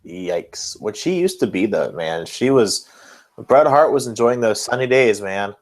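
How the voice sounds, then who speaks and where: A man's voice: on the low side, expressive, unhurried. A man co-hosting a podcast, reacting off the cuff in casual chat. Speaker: podcast co-host.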